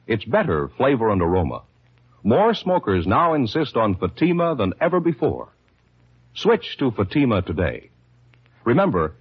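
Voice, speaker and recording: A man announcing a scripted 1950s radio advertisement as an emphatic sales pitch. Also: breathy edge. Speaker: commercial announcer. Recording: dull old radio recording.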